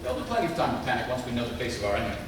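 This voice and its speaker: Brisk, earnest secret-agent hero read by an actor. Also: male voice, mid-range voice, big pitch swings, close to an everyday voice. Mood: commanding. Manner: stiff, formal, emphatic heroic delivery. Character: square-jawed, earnest, commanding male secret-agent hero. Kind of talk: live table read of a TV script. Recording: echoey hall, distant, crowd noise.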